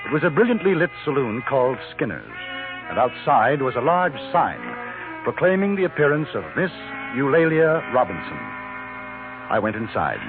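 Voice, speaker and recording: Casting: man for mid-pitched English journalist narrating a frontier radio drama, dry wit, narrow vintage sound. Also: very animated, natural voice. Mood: wry, measured. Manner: measured, wry, articulate storytelling. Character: polite, observant English newspaper correspondent out West. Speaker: narrator and lead character. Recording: narrow vintage radio, loud music behind.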